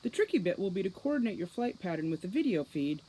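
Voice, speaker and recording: A woman's voice: lowish voice, big pitch swings, fast talker, dark tone, a little hiss or hum, rough recording. A woman reading a cheeky, smug male centaur tech expert in a storybook, glib and flippant in manner.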